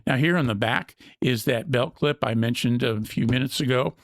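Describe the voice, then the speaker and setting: A man's voice: mid-range voice, normal speed, gravelly, no echo, studio quality. A man presenting an unscripted product walkthrough as a review host, explaining things methodically step by step.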